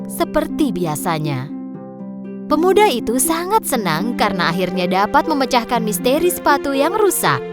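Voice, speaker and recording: A woman narrating a children's fairy tale in a lilting, expressive storybook manner. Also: fairly high pitch. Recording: clear music bed underneath.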